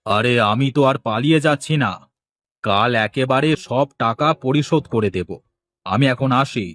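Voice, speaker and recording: A man playing a smooth, evasive fish trader from the city in a cartoon, politely businesslike and reassuring. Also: mid-range voice, moderate pace, fairly bright tone, lightly acted. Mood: brushing off. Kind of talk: cartoon dialogue acting.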